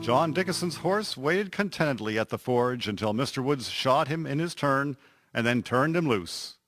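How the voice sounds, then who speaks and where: A man's voice: mid-range voice, expressive, moderate pace. A man narrating a radio drama as a scripted storyteller. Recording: music prominent behind the voice. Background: loud music.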